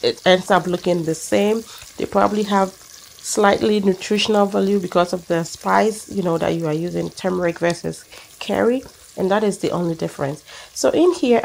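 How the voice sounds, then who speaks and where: A woman's voice: mid-range voice, creaky, a little mellow, slight background noise, rough recording. A middle-aged woman from Ghana with a Ghanaian accent, chatting through a cooking demonstration outdoors on a backyard patio, explaining each step as she goes. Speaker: cooking channel host. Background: sizzling pan, birds.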